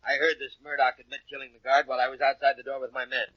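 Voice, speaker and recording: An actor playing a blustering, face-saving police inspector with grudging authority in a 1940s radio crime drama. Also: male voice, medium pitch, little pitch movement, rapid-fire, dry recording, close to an everyday voice. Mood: brisk, authoritative. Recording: dull, lo-fi old radio recording.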